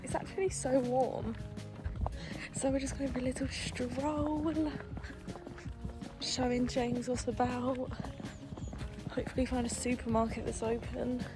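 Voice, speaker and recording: A woman's voice: a little high, little pitch movement, unhurried, long pauses, over music. A woman in her twenties chatting informally about her holiday for a vlog. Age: 20s. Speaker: vlogger. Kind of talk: casual holiday vlog chat.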